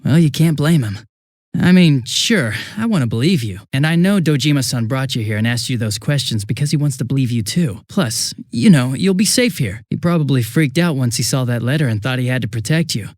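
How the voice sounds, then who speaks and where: A man's voice: mid-range voice, lively intonation, fairly quick, darkish tone, dry recording, clean studio sound, close to an everyday voice. A man voicing a goofy, chatty, hapless young police detective in a video game, with a casual, flustered, rambling manner. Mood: sympathetic, chatty. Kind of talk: English video game voice acting.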